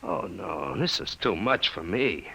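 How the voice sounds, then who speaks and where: An actor playing an excitable, wisecracking American radio operator in 1950s radio drama style, brash, slangy and exasperated. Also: male voice, sits low, measured pace, creaky, heavily acted. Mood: flustered. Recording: muffled, noisy old radio recording.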